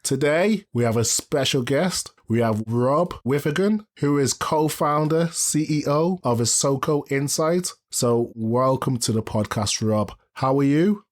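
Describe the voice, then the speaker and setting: A man with a voice of middling pitch presents an interview podcast. He speaks politely and somewhat formally, in long, carefully built sentences. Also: animated, measured pace, hardly any pauses. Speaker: podcast host.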